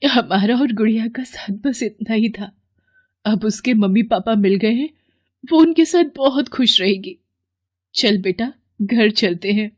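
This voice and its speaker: A woman voicing a poor village mother in an animated story, speaking tenderly and tearfully. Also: a little high, unhurried.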